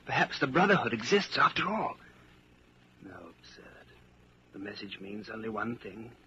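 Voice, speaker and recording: An actor playing an anxious, suspicious office worker in a 1940s radio drama, tense and brooding in delivery. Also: male voice, fairly low pitch, big pitch swings, moderate pace. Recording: scratchy, muffled 1940s radio broadcast.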